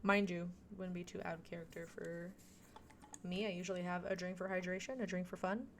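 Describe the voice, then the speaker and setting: Chatty woman streamer, lowish voice. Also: fairly even intonation, brisk, slight background noise. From Montreal, Quebec, Canada. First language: French. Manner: chatty, thinking aloud, self-deprecating asides. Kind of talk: casual chat while gaming.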